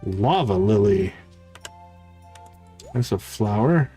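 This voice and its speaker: Slow-paced man casually commentating a game. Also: on the low side, lively intonation, husky, soft background music. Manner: chatty, thinks out loud. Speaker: gaming streamer.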